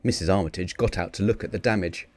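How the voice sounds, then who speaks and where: Lowish-voiced man reading a children's story. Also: fast talker, darkish tone, no room sound. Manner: theatrical on sound-effect words. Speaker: storybook reader. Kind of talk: expressive children's read-aloud narration.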